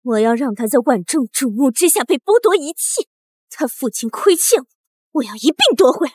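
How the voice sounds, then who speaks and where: A woman voicing a haughty, vengeful eldest princess in an animated drama, scornful and calculating. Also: high voice, normal speed, no room sound, clean studio sound. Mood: cold scheming. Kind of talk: animated short-drama dubbing.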